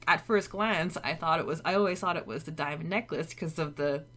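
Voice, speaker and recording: A woman's voice: low voice, fairly quick, fairly bright tone. A woman co-hosting a podcast, chatting casually about fiction. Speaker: podcast co-host.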